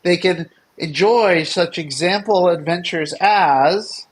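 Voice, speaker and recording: A man's voice: high voice, takes it slowly, breathy edge. A man hosting a casual livestream chat in a playful, teasing way. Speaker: livestream host.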